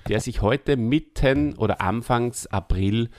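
A man's voice: mid-range voice, unhurried, a little mellow, no echo, studio quality. A man with an Austrian German accent co-hosting a casual podcast, rambling and joking in a mock-solemn way. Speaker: podcast co-host.

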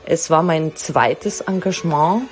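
A woman's voice: sits low, unhurried. Woman. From Israel, born in Russia. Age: 40s. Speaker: head of musical studies at an opera company. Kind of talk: prepared spoken self-introduction.

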